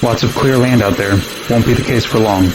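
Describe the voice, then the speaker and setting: An actor playing a stern military air traffic controller in an audio drama, with a clipped, commanding manner. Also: male voice, on the low side, a little fast, lightly acted. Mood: firm, controlled. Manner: clipped, commanding radio procedure. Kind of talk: modern audio drama acting. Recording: radio chatter with clear background noise.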